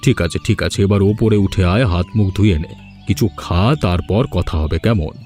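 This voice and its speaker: A man playing a village gentleman and father in a cartoon folk tale, speaking with firm authority. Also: low voice, fairly quick, soft background music, close to an everyday voice. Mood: pleased, fatherly. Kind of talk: Bengali fairy tale cartoon dubbing.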